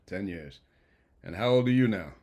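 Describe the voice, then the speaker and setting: A man with a fairly low voice leads a video-call class. He greets each student warmly and laughs often as he teases them. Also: lively intonation, moderate pace, dull audio. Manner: warm, laughing, teasing the students. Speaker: program instructor. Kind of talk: online class introductions.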